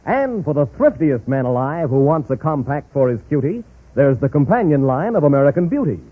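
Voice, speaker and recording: A man's voice: a little high, very animated, brisk, slightly rough. A man delivering a rhymed old-time radio commercial, on a tinny vintage recording. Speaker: advertisement pitchman.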